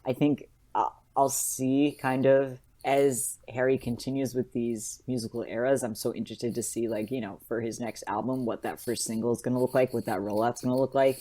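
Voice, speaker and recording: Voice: mid-range voice, fairly even intonation, normal speed, few pauses, mellow. A podcast co-host chatting casually as a fan, gushing and repeating phrases for emphasis.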